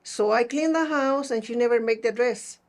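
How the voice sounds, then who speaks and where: A middle-aged woman from Mexico with a northern Mexican Spanish accent, telling personal stories conversationally in a plain-spoken, halting way. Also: fairly high pitch. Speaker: seamstress being interviewed.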